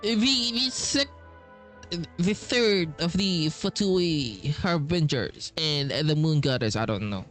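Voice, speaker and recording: Male game streamer, casual and hesitant, unhurried delivery, faint music behind. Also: on the high side, expressive, a bit bright. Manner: repetitive, hesitant phrasing. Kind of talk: casual playthrough commentary.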